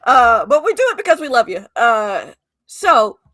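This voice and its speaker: A woman's voice: a little high, big pitch swings, normal speed, a bit bright, no room sound. A woman co-hosting a casual livestream from home, rambling and chatty with lots of fillers. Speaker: livestream co-host.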